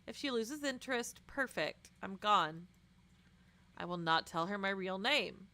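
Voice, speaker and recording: Bright-voiced woman reading expressively. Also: medium pitch, lively intonation, unhurried. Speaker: streamer. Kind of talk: expressive read-aloud of a visual novel with casual reactions.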